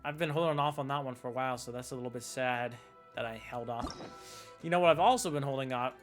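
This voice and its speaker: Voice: mid-range voice, fast talker, soft background music. A streamer of ambiguous-sounding voice, chatting casually in reaction to game dialogue.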